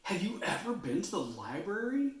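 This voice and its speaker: A man's voice: sits high, sing-song, moderate pace, reverberant, voice pushed up. A man voicing an eager, friendly, book-loving rabbit in a children's storybook, speaking earnestly and emphatically.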